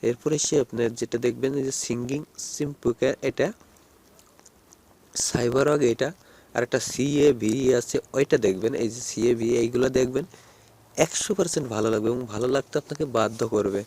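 A man's voice: medium pitch, brisk, a little mellow. A man giving a casual phone tutorial, repetitively addressing his viewers as friends. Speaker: host of phone app tutorial videos. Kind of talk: casual screen-recorded tutorial.

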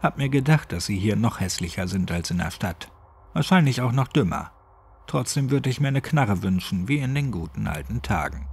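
A man's voice: lowish voice, animated, moderate pace, a little mellow, full character voice. A man voicing a cynical, grumbling old village man in clipped, colloquial speech for a horror audiobook. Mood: grumbling contempt. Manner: colloquial, clipped, gruffly chatty. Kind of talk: horror audiobook character dialogue.

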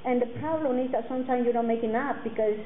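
A woman in her forties, a native Mixtec speaker, speaking earnestly to students and halting often with fillers and restarts. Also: a little high. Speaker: guest speaker. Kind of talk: personal talk to students. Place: classroom with curtains, indoors. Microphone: table mic on a stand. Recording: tinny phone-like sound, faint noise.

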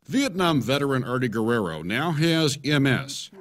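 A man's voice: medium pitch, sing-song, moderate pace. An American man in his sixties narrating a scripted television news feature as a correspondent.

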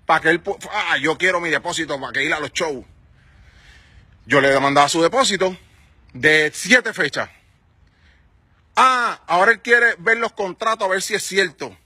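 A man's voice: fairly high pitch, normal speed, long pauses, pushed and bright, slightly rough, dry recording. A man in his forties with a Puerto Rican accent, a music manager ranting heatedly into his phone outdoors, firing rhetorical questions at his followers. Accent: Puerto Rican Spanish. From Puerto Rico. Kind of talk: angry selfie-video rant. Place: outdoors on a sunny residential street. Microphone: phone at arm's length.

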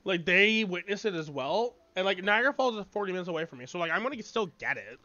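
A man in his late twenties streaming a game and chatting casually, excitable and swearing freely while talking over his friend. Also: high-pitched, fast talker, highs cut off. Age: 20s. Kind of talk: casual chat while gaming. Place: small bedroom with colorful wall.

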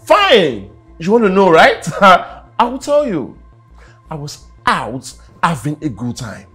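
A man's voice: fairly low pitch, animated, a little slow, soft background music. An actor playing an arrogant husband who mocks and dismisses his wife, often stammering when agitated. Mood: scornful.

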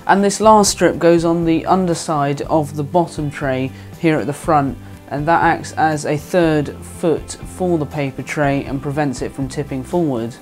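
A young adult man, host of a woodworking channel, calmly narrating each step of a build in an instructional voice-over. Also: on the high side, unhurried, few pauses. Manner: calm, explains each step plainly. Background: background music.

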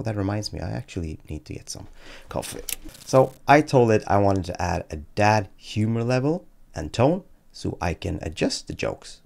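A man's voice: deep voice, vocal fry. A man in his thirties presenting a casual tutorial with dry, tongue-in-cheek delivery, close to a desk microphone indoors. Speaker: tech YouTuber and presenter. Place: small dim home room, indoors. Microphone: close boom-arm desk microphone.

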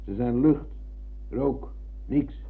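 An actor playing a rambling, philosophising older husband and embittered war veteran in a radio drama. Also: male voice, medium pitch, very slow, gravelly, slightly rough, full character voice. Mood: pedantic, ironic. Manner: philosophising, theatrical, ironic. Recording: tinny, narrow-band old radio recording.

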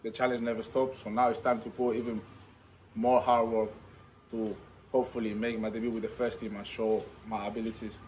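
A man's voice: on the low side, level tone, fairly quick, darkish tone. Footballer type: young man in his twenties, Spanish accent, eager second-language English, outdoors in stadium seating with a faint hiss. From Spain. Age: 20s. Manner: enthusiastic, non-native English with simple phrasing. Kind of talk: post-signing interview.

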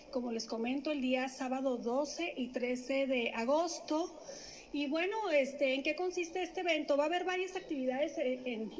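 High-voiced woman at a press conference. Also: a little hiss or hum, low-quality recording. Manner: halting, many fillers and restarts. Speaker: municipal government official. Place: indoor press room. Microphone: stand microphone.

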